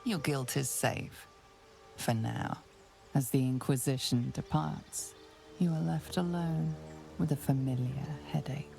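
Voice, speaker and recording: A calm, ominous storyteller narrating a fantasy game. Her voice is low with plenty of creak, over clearly heard music. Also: slow, heavily acted. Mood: hushed, ominous. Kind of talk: scripted second-person game narration.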